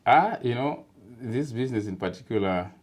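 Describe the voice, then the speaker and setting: A man from Zimbabwe, talking as an interview guest in a relaxed, chatty way, with many fillers and easy laughter. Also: medium pitch, moderate pace. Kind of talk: casual interview chat.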